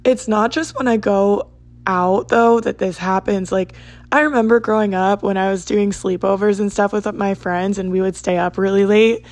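A woman in her twenties from South Jersey, hosting a podcast in a rambling, chatty monologue, her voice hoarse and raspy. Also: medium pitch, steady tone, normal speed, a little mellow. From South Jersey, United States. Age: 20s. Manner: rambling, lots of "like" and asides. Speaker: podcast host.

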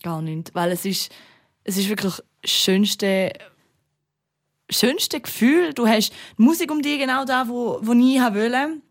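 Lachende Frau mit St. Galler Dialekt. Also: female voice, on the high side, animated, unhurried. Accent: St. Gallen Swiss German. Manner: enthusiastic, laughs often. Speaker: radio presenter. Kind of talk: casual podcast chat.

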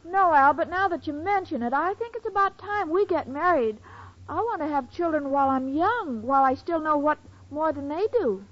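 High-voiced, sweetly dim young girlfriend. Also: lively intonation, moderate pace. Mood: earnest. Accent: American. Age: young adult. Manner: naive, earnest. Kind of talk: 1940s American radio comedy acting. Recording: dull old radio, studio audience behind.

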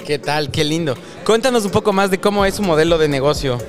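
A man's voice: on the high side, fast talker. A man hosts an interview in a slightly raised voice, asking casual questions and reacting with short friendly comments. Faint music plays behind him. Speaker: interviewer, host.

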